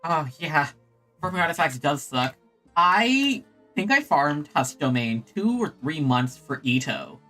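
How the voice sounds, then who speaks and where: A man giving casual live gameplay commentary, halting and often repeating his phrases. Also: a little high, big pitch swings, pushed and bright, faint music behind. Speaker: game streamer.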